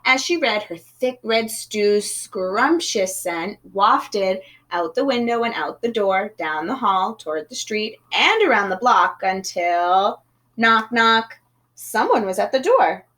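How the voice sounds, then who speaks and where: A woman reading a children's picture book aloud in an expressive storytelling manner. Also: mid-range voice, slow pace. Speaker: storybook reader.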